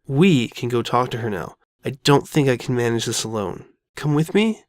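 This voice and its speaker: A woman's voice: rumbling low, lively intonation, a little mellow, dry recording, clean studio sound, pitched below the performer's own voice. A woman voicing a frightened, guilt-ridden young female pony who stammers and doubts herself in an audio drama. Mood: hesitant. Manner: stammering, halting, anxious. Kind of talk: all-dialogue fan audio drama.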